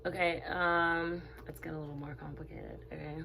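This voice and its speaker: A woman's voice: low voice, level tone, moderate pace, creaky, bright, forceful tone, a little hiss or hum. A young woman interviewing a friend in casual chat, laughing often and filling gaps with "um" and "like". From Zimbabwe. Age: young adult.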